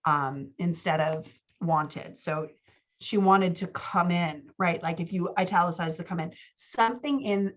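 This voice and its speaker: A woman's voice: on the low side, fairly bright tone, dull audio. A woman giving informal one-on-one writing feedback as a coach, explaining her edits and acting out example lines.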